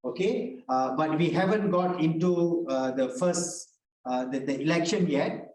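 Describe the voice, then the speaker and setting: A man's voice: a little high, a little slow, a little mellow. A man answering on a panel, talking conversationally and hesitantly with many fillers. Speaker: panel speaker. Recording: echoey, distant video call audio.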